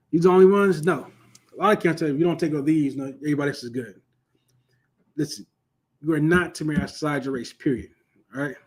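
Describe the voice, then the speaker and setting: A man's voice: fairly high pitch, a little mellow, husky. A man teaching an informal online Bible lesson in a conversational, emphatic way, often asking "you know what I'm saying" and repeating points for stress. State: starting to lose his voice.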